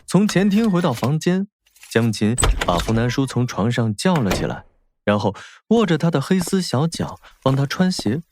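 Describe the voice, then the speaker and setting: A man's voice: mid-range voice, very animated, normal speed, background noise. A man narrating a novel as a scripted, dramatic audiobook. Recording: faint music behind.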